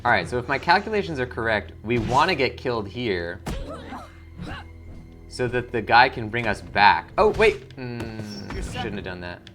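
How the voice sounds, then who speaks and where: A man's voice: medium pitch, very animated, normal speed, fairly bright tone, soft background music. A man hosting a gaming video with casual, informal commentary, thinking aloud as he plays. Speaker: gaming channel host.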